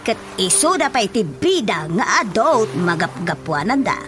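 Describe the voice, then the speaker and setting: A woman's voice: mid-range voice, very animated, unhurried, music in the background. A woman playing a feisty, easily panicked village office worker in a comic radio drama, exclaiming expressively. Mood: uneasy muttering. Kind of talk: radio drama comedy acting.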